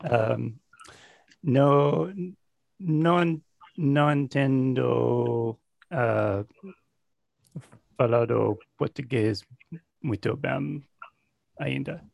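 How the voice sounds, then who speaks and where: A man with an American English accent speaking halting beginner Portuguese on a video call, pausing often with long filler sounds. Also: mid-range voice, creaky, a little mellow, no echo, rough recording. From New Jersey, United States. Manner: hesitant, many drawn-out filler sounds. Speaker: Portuguese learner. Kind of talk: halting beginner speech in a language class.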